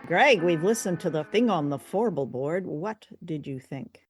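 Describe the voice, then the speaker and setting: A woman's voice: deep voice, animated, a little slow, faint music behind. A woman co-hosting a casual review chat, speaking in a hesitant, conversational way. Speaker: podcast co-host.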